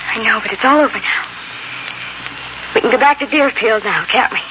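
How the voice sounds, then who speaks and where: A woman's voice: a little high, fairly quick, background noise, lightly acted. A woman playing a devoted, emotional young frontier wife with tender, gushing delivery in a 1940s radio drama. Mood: tender, loving. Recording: dull old radio recording, loud music.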